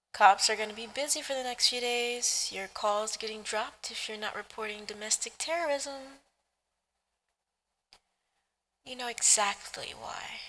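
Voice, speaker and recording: A woman's voice: medium pitch, a bit bright, lightly acted. Woman acting a smug, teasing female villain. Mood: threatening, impatient. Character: brazen female supervillain, teasing, combative, scheming mother. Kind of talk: ASMR roleplay acting.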